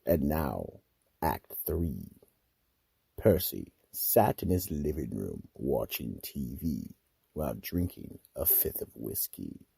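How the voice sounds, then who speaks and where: A man narrating a radio mystery in an ominous, dramatic and deliberate way, with a raspy, gravelly voice. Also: rumbling low, sing-song, mellow, no room sound. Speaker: narrator and announcer.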